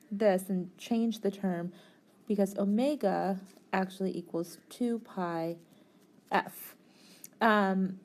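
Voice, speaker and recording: A woman's voice: medium pitch, darkish tone. A woman tutoring physics, explaining a worked problem step by step in a methodical, informal way.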